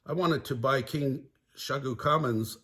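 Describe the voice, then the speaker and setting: A man voicing a spoiled, wealthy middle-aged woman collector in a low, huffy, indignant tone. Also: slow, fairly bright tone.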